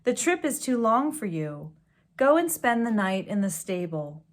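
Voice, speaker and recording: A woman voicing a kind male shepherd in a children's storybook, gentle but firm. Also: mid-range voice, big pitch swings, a little slow. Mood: tender firmness.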